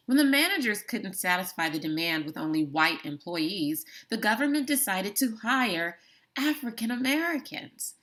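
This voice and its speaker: A woman teacher reads a book chapter aloud for her students at a measured, deliberate pace. She stresses important words and now and then breaks off for a brief comment of her own. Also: medium pitch, a bit bright. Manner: slow, deliberate reading with emphatic words and brief asides. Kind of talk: classroom read-aloud of a book.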